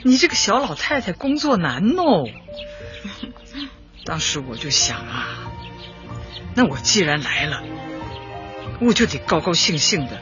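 Radio drama role: brisk older female party secretary, swooping intonation, chatty and upbeat, music clearly behind. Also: medium pitch. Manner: emphatic, chatty, instructive. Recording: dull audio with music behind. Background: background music.

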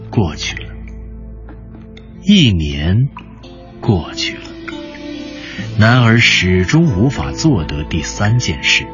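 A man narrating a scripted story with deliberate, dramatic phrasing, as in a radio drama. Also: fairly low pitch, lo-fi sound. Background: music.

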